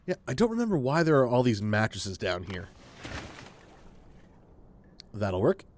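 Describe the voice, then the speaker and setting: A man's voice: mid-range voice, big pitch swings, unhurried, slight background noise. A man hosting a gaming video with casual, rambling commentary, thinking aloud as he plays. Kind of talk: casual let's play commentary.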